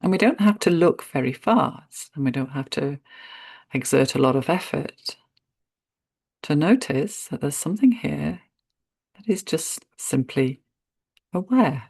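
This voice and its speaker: A woman guiding a meditation in a gentle, unhurried manner, speaking in short phrases with spacious pauses. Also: low voice, expressive, creaky, breathy edge, dry recording, clean studio sound. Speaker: meditation guide. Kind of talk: guided meditation narration.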